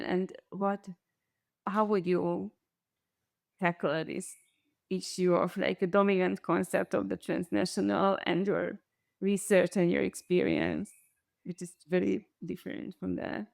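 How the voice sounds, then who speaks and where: Woman hosting an academic panel, bit of vocal fry, dry close recording, picks her words slowly. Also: fairly low pitch, steady tone, darkish tone, no echo. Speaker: panel moderator.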